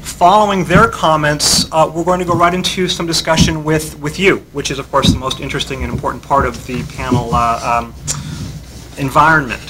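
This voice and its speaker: A man moderating a conference panel, introducing speakers in a halting way with many fillers. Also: fairly high pitch, fairly even intonation, heavy background noise. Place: conference hall.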